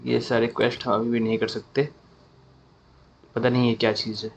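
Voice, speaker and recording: A man's voice: medium pitch, monotone, racing, creaky, a little hiss or hum. A man with an Indian accent giving casual gameplay commentary as a gaming host.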